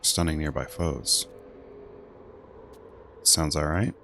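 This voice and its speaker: A man's voice: very deep voice, steady tone, takes it slowly, creaky, pushed and bright, soft background music. A man doing casual gameplay commentary as a streamer, dry and blunt, thinking aloud and reading menus. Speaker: game streamer.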